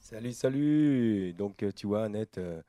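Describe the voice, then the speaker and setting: A man's voice: lowish voice, animated, measured pace, warm tone, no echo, studio quality. A man hosting a radio show, chatting casually and teasing. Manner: jokey, teasing. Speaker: radio host.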